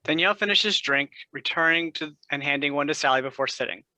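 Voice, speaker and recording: Brisk, bright man reading stage directions. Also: a little high, no room sound, poor audio. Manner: brisk, matter-of-fact. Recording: dry close recording.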